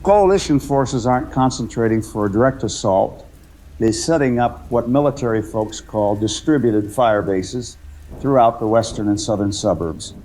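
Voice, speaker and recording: A man playing a truck driver who calls a radio show in a war drama, giving a measured, matter-of-fact eyewitness account. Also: on the low side, mellow, poor audio. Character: shaken but observant male trucker escaped from a war zone. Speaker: radio caller.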